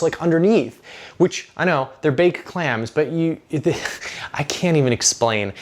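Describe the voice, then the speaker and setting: Enthusiastic man telling a casual food story. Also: fairly high pitch. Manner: enthusiastic, chatty. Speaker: food vlog host and narrator. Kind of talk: casual restaurant review storytelling.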